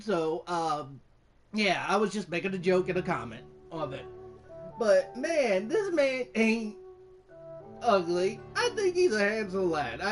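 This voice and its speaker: A gaming YouTuber giving casual commentary in a rambling way, often repeating and stumbling over words. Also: medium pitch.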